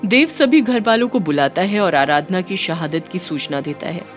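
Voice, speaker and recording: A woman's voice: lowish voice, expressive, moderate pace. Woman narrating a melodrama over music. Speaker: story narrator. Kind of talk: scripted storytelling narration for a melodrama. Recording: music bed behind the voice. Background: background music.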